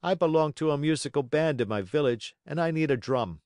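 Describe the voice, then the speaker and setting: A man's voice: a little high, expressive, a little fast, a little mellow, dry recording, studio quality, pitched above the performer's own voice, lightly acted. A man voicing a blunt, stingy village musician haggling at market in a storybook, brusque and dismissive.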